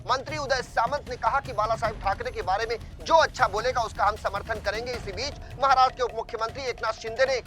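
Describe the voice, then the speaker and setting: A man's voice: extremely high pitch, quick pace, few pauses. A man reading a scripted news bulletin as anchor, formal and emphatic. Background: music bed.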